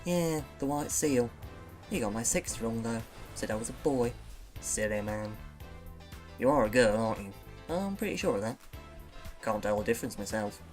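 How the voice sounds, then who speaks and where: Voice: mid-range voice, lively intonation, normal speed, long pauses, music in the background, lightly acted. A performer voicing a young female seal with a British accent, wry, sarcastic and self-important. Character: young female seal, pompous would-be intellectual. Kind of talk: cartoon narration.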